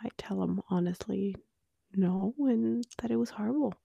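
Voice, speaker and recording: A woman in her late twenties from Norway, speaking English with a Norwegian accent tinged with Australian, co-hosting a candid podcast and often dropping to a hushed near-whisper. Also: medium pitch, normal speed, gravelly, breathy edge, dry recording. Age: 20s. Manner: soft-spoken, drifting into hushed near-whispers. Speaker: podcast co-host. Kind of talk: candid conversational podcast chat.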